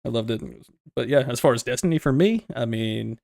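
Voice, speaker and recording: A man's voice: mid-range voice, animated, fairly quick, creaky, a little mellow, no echo, studio quality. A young man from the United States co-hosting a gaming podcast in a laid-back, chatty way, laughing often. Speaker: podcast co-host. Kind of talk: casual gaming podcast chat.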